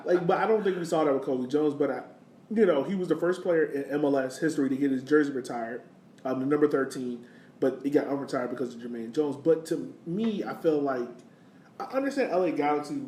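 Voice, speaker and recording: A man's voice: a little high, fairly quick, darkish tone. An American man co-hosting a sports podcast, talking soccer casually and giving his opinions in a conversational way. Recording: roomy, distant mic, faint noise.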